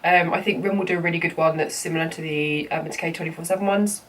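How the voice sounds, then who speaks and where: A woman's voice: sits low, fairly even intonation, fast talker. Stuffy-nosed woman chatting on camera. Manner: chatty, frequent ums and you-knows. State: congested, bunged up from a cold. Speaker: makeup vlogger. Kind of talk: casual makeup tutorial. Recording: slightly distant mic with faint room noise.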